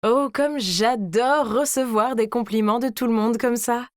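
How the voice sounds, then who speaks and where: A woman voicing a vain, scheming teenage girl who tells her own animated story in a smug, theatrical way. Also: fairly high pitch, unhurried, dry recording, clean studio sound, close to an everyday voice. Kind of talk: dramatized first-person story narration.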